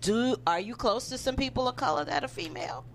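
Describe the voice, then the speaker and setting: A woman's voice: a little high, animated, fast talker, gravelly. A woman in a casual panel discussion who asks questions in a direct, probing way. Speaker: panelist on a script table-read show. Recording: faint background noise with hum.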